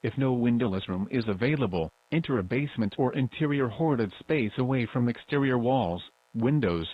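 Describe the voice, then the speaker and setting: A man voicing an ominous emergency broadcast announcer in an analog horror piece, stern and formal with a gravelly voice. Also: medium pitch, lively intonation, moderate pace, lo-fi sound, natural voice. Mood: stern, urgent. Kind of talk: scripted emergency alert narration, analog horror.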